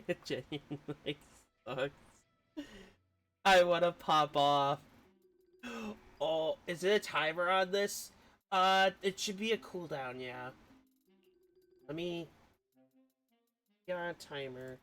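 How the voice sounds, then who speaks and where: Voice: mid-range voice, takes it slowly, pauses often, a bit bright. A game streamer chatting casually over live play, rambling and easily flustered with a giggly manner. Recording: music behind the voice. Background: game music.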